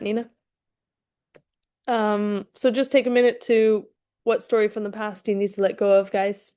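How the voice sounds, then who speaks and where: Hoarse woman with a cold, chatty. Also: medium pitch, darkish tone. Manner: chatty, with frequent restarts. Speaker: fitness coach hosting a live session. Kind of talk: casual live-streamed talk to a chat.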